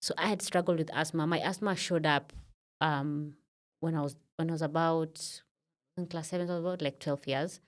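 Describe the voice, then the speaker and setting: A woman's voice: low-pitched, steady tone, moderate pace. A Kenyan woman around thirty, a podcast guest in a studio, telling her story in a reflective way with many fillers. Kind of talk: casual interview talk.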